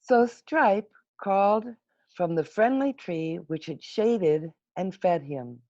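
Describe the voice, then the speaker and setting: Woman, storybook narration, mellow lowish voice, expressive, dramatic pauses, dry muffled video-call sound. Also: no echo. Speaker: storybook reader. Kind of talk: read-aloud children's story narration.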